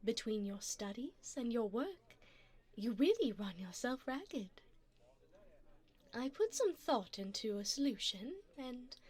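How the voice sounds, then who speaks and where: A woman playing a wealthy, seductive vampire in an ASMR roleplay, doting yet predatory, with a poised, formal, teasing and breathy delivery. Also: fairly high pitch, sing-song, slow, a little mellow, heavily acted. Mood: smooth, persuasive. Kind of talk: ASMR roleplay, addressing the listener.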